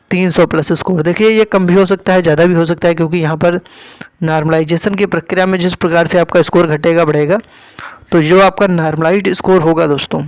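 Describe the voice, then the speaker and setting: A man's voice: a little high, no echo. A man giving an informal explanatory lesson to students, instructive, repeating key points and often checking in. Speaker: exam-prep teacher presenting expected cutoffs.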